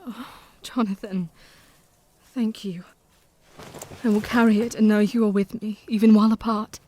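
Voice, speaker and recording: An actress playing a devoted, brave young wife in an audio drama, speaking gently and from the heart, with faint background noise. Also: female voice, mid-range voice, steady tone, moderate pace, lightly acted. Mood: tender. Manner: gentle, heartfelt, softly spoken. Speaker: narrator of her own journal.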